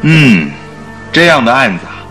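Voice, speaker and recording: A lively, genial sidekick. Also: male voice, mid-range voice, a little slow, faint music behind. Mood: reassuring. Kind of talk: Mandarin radio drama acting.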